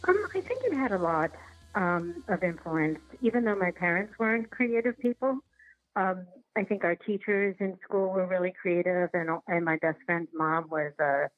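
A middle-aged woman from New York City giving casual interview answers, reflective and halting as she thinks aloud. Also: on the low side, expressive, no echo, soft background music. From New York City, United States. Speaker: interview guest, documentary filmmaker. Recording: tinny phone-like line, dry.

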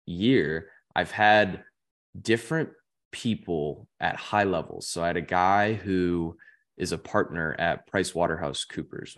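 Low-voiced young man, rambling chat. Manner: rambling, heavy use of "like" fillers. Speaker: podcast guest. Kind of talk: casual chat.

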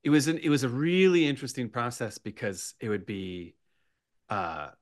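A man chatting casually as a writer guest on a podcast, in halting bursts with repeated starts and asides. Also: mid-range voice, big pitch swings, no echo, clean studio sound. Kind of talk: animated casual interview chat.

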